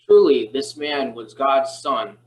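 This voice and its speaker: A man's voice: medium pitch, lively intonation, takes it slowly. A man playing soldiers and bystanders in a dramatised scripture reading, recorded in an echoey room with noise. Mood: awed. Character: mocking male soldiers and bystanders at a crucifixion.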